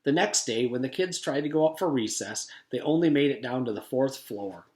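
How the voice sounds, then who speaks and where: An echoey room surrounds a man reading a children's book aloud. His voice sits at an average pitch, and he tells the story as a teacher would to pupils. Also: animated, fairly quick, a little mellow, slight background noise.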